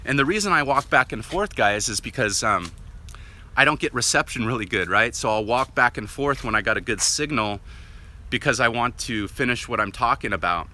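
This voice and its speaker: A man vlogging while walking outdoors. He talks loudly and casually, with no microphone on, and keeps tossing in "right?". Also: mid-range voice, expressive, normal speed. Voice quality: loud voice. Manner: rambling, chatty, often asks "right?". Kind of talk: casual walk-and-talk monologue. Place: outdoors on a residential street, walking. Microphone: no worn mic, camera or phone at hand.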